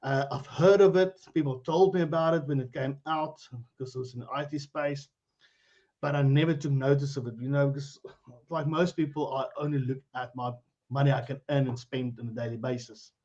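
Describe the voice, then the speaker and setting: A man's voice: mid-range voice, fairly even intonation, brisk, a little mellow, muffled recording. A middle-aged man with a South African accent, guest on a live-streamed interview, talking in a chatty, rambling way. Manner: chatty, rambling, laughs easily. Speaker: guest, cryptocurrency educator. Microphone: video call.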